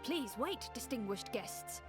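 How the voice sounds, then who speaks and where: Humble, formal fantasy townsfolk put on by a woman narrator for a video game. The delivery is deferential and rather high, swinging expressively over clear music. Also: lively intonation, slow. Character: humble elderly townswoman and deferential royal guards. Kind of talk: storyteller playing game bit parts.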